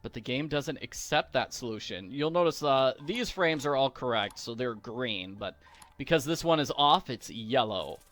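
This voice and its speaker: A man's voice: mid-range voice, animated, normal speed, a bit bright. A man giving casual, playful walkthrough commentary on a video game, explaining each step as host. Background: music.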